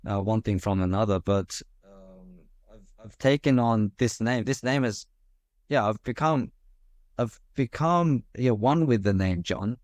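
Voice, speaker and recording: A man hosting a podcast, chatting thoughtfully with many fillers and pauses. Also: fairly low pitch, a little mellow, no room sound, clean studio sound. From Korea. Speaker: podcast host. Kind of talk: casual conversation. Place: Australia.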